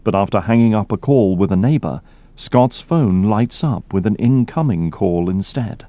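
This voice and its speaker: Lowish mellow guy narrating an audio drama, really dry close sound. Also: lively intonation, normal speed, no room sound.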